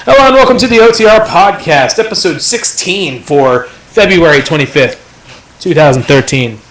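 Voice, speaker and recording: A man's voice: a little high, expressive, normal speed, a bit bright. A man in his thirties hosting a casual podcast with friends, loose and profane, laughing between jokes. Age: 30s. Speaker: podcast host. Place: apartment room. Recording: echoey room with faint noise.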